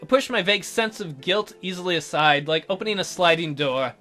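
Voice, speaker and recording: A man's voice: sits high, lively intonation, brisk. A man hosting a let's play, reading visual novel narration aloud with casual asides. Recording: soft music behind the voice.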